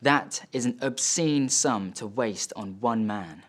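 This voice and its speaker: Man acting a scornful, slow-spoken older father. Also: lowish voice, a bit bright. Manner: sneering, emphatic, drawn-out reproaches. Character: scornful, proud older father, about sixty. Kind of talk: dramatic stage monologue.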